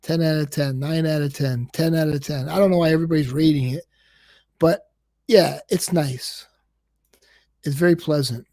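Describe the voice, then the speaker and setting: A man's voice: on the high side, steady tone, moderate pace, long pauses, mellow. A man hosting a casual livestream, rambling and repeating words, and he says he stutters. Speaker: livestream host.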